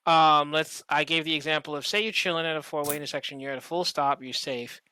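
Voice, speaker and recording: A podcast co-host chatting casually and comedically, acting out examples and swearing freely in an animated storytelling manner. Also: medium pitch, fast, clear, resonant tone.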